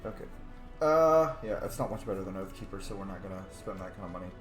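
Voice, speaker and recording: A man's voice: deep voice, lively intonation, quick pace. A man casually narrating his video game playthrough, rambling and thinking aloud as host. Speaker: host, gamer. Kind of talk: casual gaming commentary. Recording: roomy sound, faint game music.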